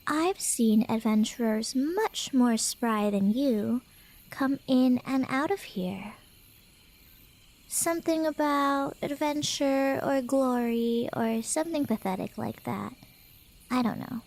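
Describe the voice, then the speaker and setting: Woman acting as a high-voiced, teasing cat girl. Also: takes it slowly, darkish tone, poor audio. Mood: musing, inquisitive.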